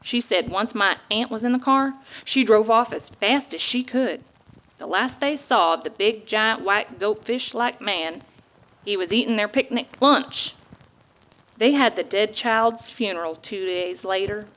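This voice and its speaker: A woman reads aloud from a book as a dramatic performance. Her voice sits at a medium pitch, and the sound is narrow and phone-like. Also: measured pace. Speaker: guest reader. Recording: thin, phone-like narrow band.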